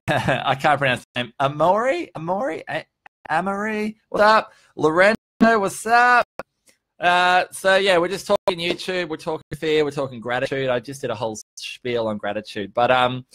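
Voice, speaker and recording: A man's voice: fairly high pitch, a bit bright, dry recording. A man in his early thirties chatting casually and earnestly on a livestream, speaking informally with some swearing and emphatic points. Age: early 30s. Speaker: vlogger hosting a live stream. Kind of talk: casual motivational livestream chat, answering viewers.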